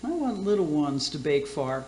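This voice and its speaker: A woman's voice: low-pitched, sing-song, moderate pace, dark tone. Woman acting a theatrical batty older aunt, roomy sound. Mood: teasing, doting. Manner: folksy, theatrical, catty asides. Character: batty, boastful older aunt hosting radio cooking show. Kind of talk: comic community-theater radio play.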